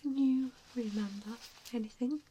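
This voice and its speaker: A woman performing a softly spoken ASMR roleplay as a gentle, reassuring vampire mentor, with a soothing, hesitant manner. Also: a little high, moderate pace, slight background noise, low-quality recording.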